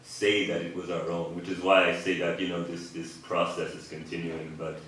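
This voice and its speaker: A man's voice: low voice, level tone, normal speed, fairly bright tone, slight background noise. A man on a discussion panel, speaking in an earnest, reflective way. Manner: earnest, reflective, casual fillers. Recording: echoey hall from far off.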